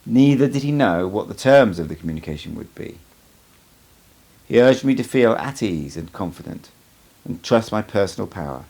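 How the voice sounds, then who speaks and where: Deep, gravelly male audiobook narrator. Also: animated, moderate pace, darkish tone. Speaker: amateur audiobook reader. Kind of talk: scripted audiobook narration, first-person memoir.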